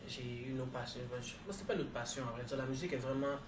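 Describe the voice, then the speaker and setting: A man's voice: mid-range voice, rapid-fire. A man in his thirties who grew up in the United States, answering interview questions in a hesitant way with many fillers and restarts. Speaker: actor and musician being interviewed. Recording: roomy, distant, noisy background.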